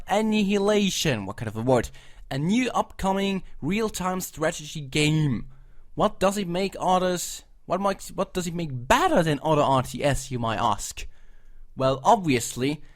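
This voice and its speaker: A young man with a German accent, a native German speaker, giving casual, rambling commentary and frank opinions on game projects, often correcting himself. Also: fairly high pitch. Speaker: video reviewer.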